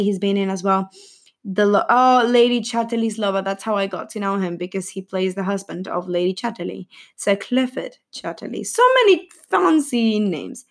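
A young woman vlogging casually about a film's cast, rambling and giggly, with lots of fillers and self-corrections. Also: medium pitch. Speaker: film vlogger.

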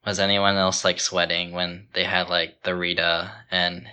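A man's voice: sits low, flat delivery, a little slow, bright, forceful tone, smooth. A man who hosts a podcast, casually retelling TV scenes and quoting the dialogue. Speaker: podcast host. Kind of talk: casual chat, recounting TV scenes.

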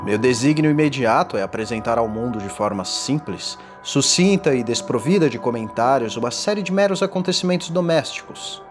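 A man playing a tormented condemned man in a gothic horror audio drama, confessing in a formal, theatrical and deliberate delivery. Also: medium pitch, expressive, close to an everyday voice. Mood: solemn, anguished. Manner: theatrical, deliberate, ornate diction. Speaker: narrator. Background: music.

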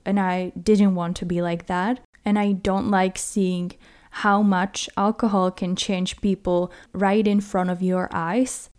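Young woman from czechia talking solo on her podcast, mid pitch, candid and measured. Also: a little mellow, clean tone. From Czech Republic. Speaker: podcast host. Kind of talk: personal solo podcast talk.

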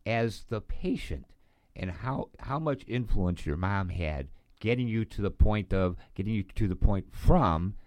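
A man's voice: fairly low pitch, animated, unhurried, few pauses, darkish tone, dry recording. A man hosting an interview, asking questions and encouraging his guests with frequent short affirmations. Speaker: host. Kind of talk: interview chat.

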